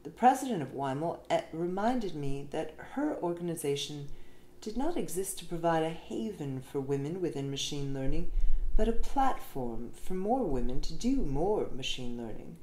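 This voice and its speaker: A woman's voice: sits low, sing-song, moderate pace, warm tone. A woman reading an essay aloud as scripted narration, in a roomy recording with faint noise behind her. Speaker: reader of an essay.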